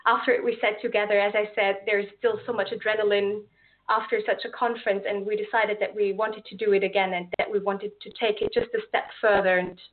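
A woman's voice: medium pitch, level tone, a little fast, slight background noise. Austrian-accented young woman on a phone-like call. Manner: friendly, earnest, with some fillers and restarts. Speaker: guest, event organizer. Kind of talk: casual interview over a call.

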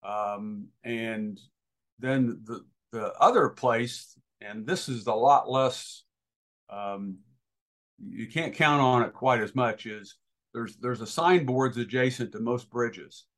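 A man's voice: medium pitch, slow, a bit bright. Male boating educator as interview guest: mid-range voice, practical, unscripted, hesitant, explains step by step. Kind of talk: informal explanatory interview answers.

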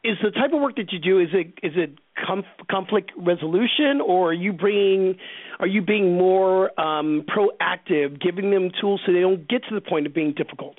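A man's voice: high voice, normal speed, dry recording. A man hosting an interview, asking his questions in a casual, conversational way. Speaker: interview host. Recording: narrow phone-like band, dry.

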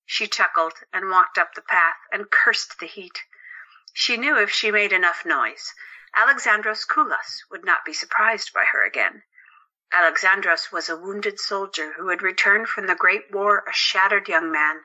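A woman's voice: medium pitch, moderate pace, bright, forceful tone, highs cut off. A woman narrating a scripted story as the narrator of an audio drama.

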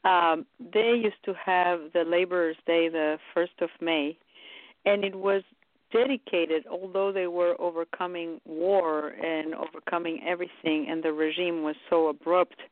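A woman talks as a radio guest, rambling warmly through her stories with plenty of ums. Her voice comes over a narrow, tinny phone line. The sound is dry and close. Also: fairly low pitch, fairly even intonation, measured pace, no echo. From Romania. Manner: chatty storyteller with many fillers. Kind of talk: casual radio interview.

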